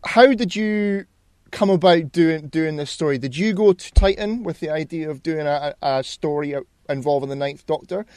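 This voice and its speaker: Higher-pitched man hosting a casual interview. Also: moderate pace, darkish tone, no room sound, clean studio sound. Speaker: podcast host, interviewer.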